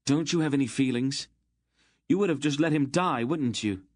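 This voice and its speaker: A man voicing an angry young man, hot-headed and defiant, shouting in a strained voice pushed far above his own. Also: mid-range voice, very animated, brisk, slightly rough, no echo, pitched above the performer's own voice. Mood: enraged.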